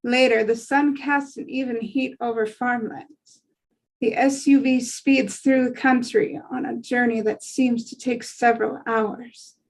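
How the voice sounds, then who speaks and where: A woman's voice: fairly high pitch, steady tone, measured pace, low-quality recording. A woman reading screenplay scene descriptions aloud as a narrator at a table read.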